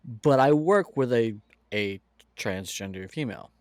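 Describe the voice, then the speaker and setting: A man's voice: mid-range voice, animated, unhurried, no room sound, studio quality. An American man co-hosting a podcast, rambling casually with lots of fillers and restarts. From United States. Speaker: podcast co-host.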